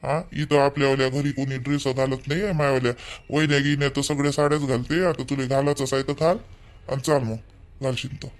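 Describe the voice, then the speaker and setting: A man's voice: medium pitch, level tone, brisk, natural voice. A man with a Vidarbha Marathi accent playing a young newly married husband in a village cartoon, blunt, bossy and impatient.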